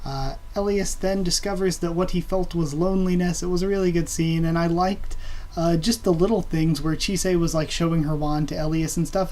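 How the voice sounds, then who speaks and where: A host reviewing an anime episode in a casual, rambling way, their voice a bit raspy and quiet from a cold. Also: medium pitch. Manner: rambling and casual, with many fillers and blunt swearing.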